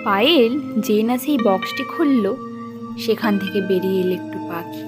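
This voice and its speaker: A woman's voice: mid-range voice, animated, normal speed, over music. A woman giving scripted narration for a children's story.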